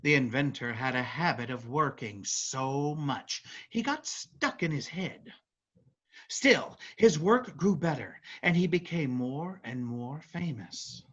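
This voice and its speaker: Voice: mid-range voice, animated, slow pace, muffled recording. A performer playing a chatty narrator telling a folk tale in a staged reading, with conversational asides. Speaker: narrator of a play. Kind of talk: theatrical storytelling in a staged reading.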